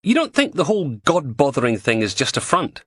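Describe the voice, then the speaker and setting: A man's voice: medium pitch, big pitch swings, a little fast, a bit bright, slightly rough, no echo, clean studio sound, pitched above the performer's own voice. A man voicing a weary, cynical male detective who grumbles sardonically. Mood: grumbling.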